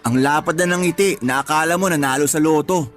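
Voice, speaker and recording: Man acting exasperated husband, higher-pitched voice. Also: a little fast, a bit bright, soft background music, natural voice. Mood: weary grumbling. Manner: complaining, colloquial, emphatic. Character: exasperated young husband of a pregnant, craving partner. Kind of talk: Tagalog radio drama acting.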